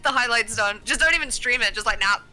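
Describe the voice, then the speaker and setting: A young woman with an Australian accent chats playfully as a stream co-caster, often laughing and teasing. Her voice is very bright and fairly high. Faint music plays underneath. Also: quick pace, low-quality recording. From England. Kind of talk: casual game commentary chat.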